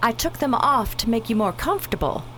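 A woman's voice: fairly high pitch, big pitch swings, a little fast, fairly bright tone, slight background noise. A woman playing a perky, plucky, informal space crew member in a radio drama. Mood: caring.

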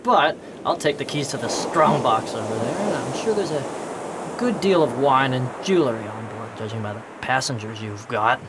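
An actor playing a ruthless, mocking pirate captain in a swaggering audio drama style. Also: male voice, moderate pace, close to an everyday voice. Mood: coldly mocking. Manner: swaggering, mock-courteous, barking orders. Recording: noisy audio with steady rumble.

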